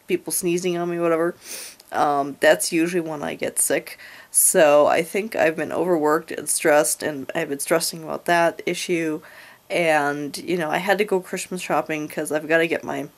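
Stuffy-nosed lady in her 30s rambling at her webcam from bed, lots of ums, voice on the low side. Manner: rambling, with frequent ums and light laughs. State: stuffy from a cold. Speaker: vlogger. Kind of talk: casual vlog chat. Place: bedroom, indoors. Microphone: webcam at close range.

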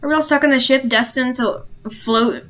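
A teenage girl streaming a social VR game, chatting casually and reacting excitedly. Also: sits high, normal speed. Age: teens. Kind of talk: casual gaming chat.

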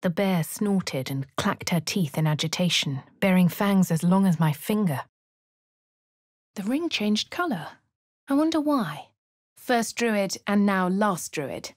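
A woman with a posh English accent voicing a wry young female adventurer in a video game, crisp and clipped. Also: medium pitch, lively intonation, measured pace, no room sound. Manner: crisp, clipped, dryly sardonic. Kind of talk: video game character voice lines. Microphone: close studio mic. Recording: dry studio recording.

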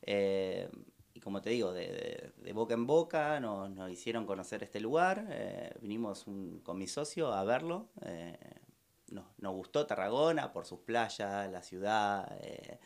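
An Argentine man in his thirties answers a radio host's questions from a studio mic. His voice sits low and carries a gravelly fry. He talks casually and haltingly, often pausing to restart a phrase. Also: lively intonation. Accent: Argentine Spanish. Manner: halting, many fillers and restarts. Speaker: bar owner guest. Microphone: studio microphone with headphones.

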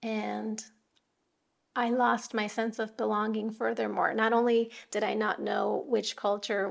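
A middle-aged woman from Japan with an American accent, hosting a podcast from home and telling her personal story in a soft, reflective way. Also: medium pitch, gravelly. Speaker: podcast host.

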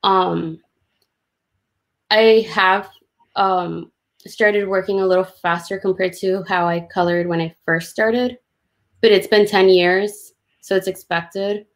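A woman's voice: medium pitch, steady tone, slow pace. A woman illustrator giving informal, self-deprecating commentary on a drawing demo, explaining as she goes on a video call.

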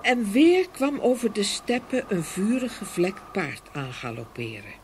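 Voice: mid-range voice, huge pitch swings, unhurried. A storyteller giving a dramatic scripted narration of a fairy tale. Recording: faint ambient noise behind voice.

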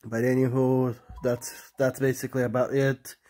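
A man's voice: mid-range voice, little pitch movement, moderate pace, warm tone, soft background music. A man with a cold and a nasal voice, rambling casually as he films his bedroom on a phone for his vlog. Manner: rambling, restarts and fillers, polite sign-off. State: has a cold, nasal and sniffly. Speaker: vlogger. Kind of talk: casual off-the-cuff vlog narration. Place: small cluttered bedroom indoors. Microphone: phone camera held while filming the room.

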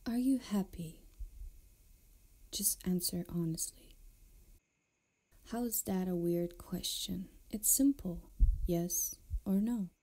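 A woman playing a flirtatious, tender pirate captain in an intimate ASMR roleplay, speaking softly and often close to a whisper. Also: on the low side. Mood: tender, comforting. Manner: soft, breathy, often near whisper, unhurried. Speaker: audio roleplay performer.

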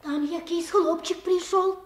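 Radio play: superstitious old peasant nanny, folksy and exclamatory, high voice, speech. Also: female voice, steady tone, unhurried. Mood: suspicious, scolding. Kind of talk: radio drama acting.

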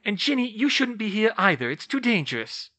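A narrator voicing a protective, fierce middle-aged witch mother with a forceful manner, in a fantasy audiobook. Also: medium pitch, sing-song, a little fast, pitched above the performer's own voice. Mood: fierce, scolding. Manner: forceful, scolding.

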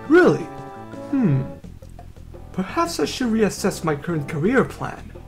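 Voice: medium pitch, sing-song, a little slow, a little mellow, faint music behind, voice pushed up. A performer voicing an earnest, bookish, slightly put-upon boy in a video game dub. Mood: inquisitive.

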